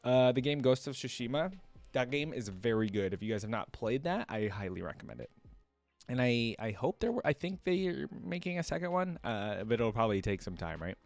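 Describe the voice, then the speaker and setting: Male reaction host, mid-pitched, dry studio-close sound, informal and chatty, thinks aloud straight to viewers. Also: very animated, a little fast, no echo, faint music behind.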